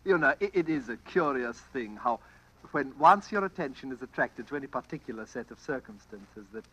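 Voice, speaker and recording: An actor playing an affable, smooth-talking, faintly sinister gentleman stranger in an old-time radio drama, smoothly inquisitive. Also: mid-range voice, lively intonation, a little fast, lo-fi sound. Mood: musing.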